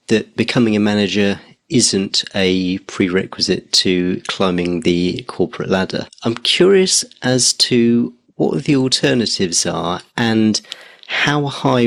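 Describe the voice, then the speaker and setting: Low, gravelly male podcast host. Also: a bit bright, no echo. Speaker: podcast host and career coach. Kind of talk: scripted intro then interview questions.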